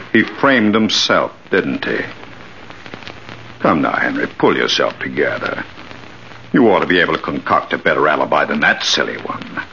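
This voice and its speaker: An actor playing a vengeful, gray-haired ex-convict with a deliberate, sardonic, taunting manner in an old radio thriller. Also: male voice, deep voice, gravelly, sharp tone, exaggerated voice. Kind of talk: 1940s radio thriller acting. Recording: muffled, noisy old radio recording.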